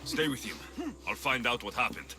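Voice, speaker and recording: An actor playing a stern, protective mercenary hero in a video game, terse and commanding. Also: male voice, medium pitch, expressive, moderate pace, lightly acted. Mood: grim, firm. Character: stern male Greek mercenary hero, protective and battle-hardened. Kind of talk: video game dramatic acting. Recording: game music behind the voice.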